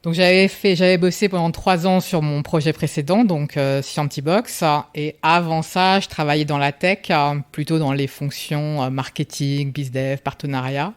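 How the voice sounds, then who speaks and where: Clear-voiced woman in her forties. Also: low voice, normal speed, few pauses. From Paris, France. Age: 40s. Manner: relaxed, reflective, tags sentences with "hein". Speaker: startup founder, guest. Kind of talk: casual interview chat.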